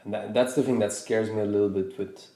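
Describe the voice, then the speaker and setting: A man from Norway in his thirties, hosting a podcast in a reflective, casual way, pausing and restarting his sentences as he thinks aloud. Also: on the low side, fairly even intonation, vocal fry, mellow, echoey room. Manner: thoughtful, hesitant with many restarts. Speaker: podcast host.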